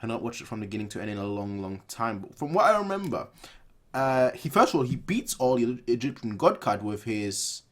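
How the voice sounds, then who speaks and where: A man in his twenties giving casual, off-the-cuff reaction commentary in an animated, rambling way, repeating phrases for emphasis. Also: mid-range voice, fairly quick. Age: 20s. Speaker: reaction video host. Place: small room at home, indoors.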